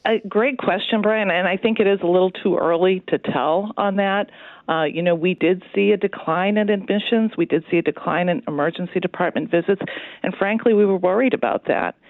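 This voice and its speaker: A woman's voice: fairly low pitch, no echo. Woman on a tinny phone line. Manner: measured, explanatory, reassuring. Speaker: hospital executive interviewed as a guest. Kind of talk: radio interview. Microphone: telephone.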